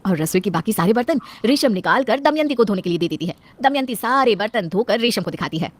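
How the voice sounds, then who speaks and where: A woman's voice: medium pitch, expressive, fast talker, no room sound. A woman reading scripted narration for a domestic family story.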